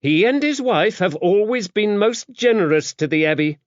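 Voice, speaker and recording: A man voicing a gruff, stern, worldly-wise older nun with a brusque manner, in an audiobook. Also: high-pitched, lively intonation, moderate pace, no echo, highs cut off, pitched above the performer's own voice. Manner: stern, brusque, matter-of-fact. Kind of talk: audiobook character dialogue.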